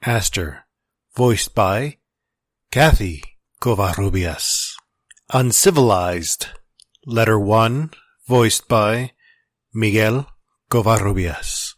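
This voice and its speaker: A man with a husky voice reading scripted podcast credits as an announcer. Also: lowish voice, slow, pauses often, no echo.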